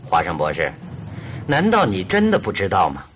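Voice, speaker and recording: A man's voice: low voice, huge pitch swings, moderate pace, a bit bright, a little hiss or hum, exaggerated voice. A man acting in a radio drama as a confident, teasing detective who speaks with composure and likes to hold things back. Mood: probing, assured. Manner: composed, teasing, withholding, with knowing chuckles.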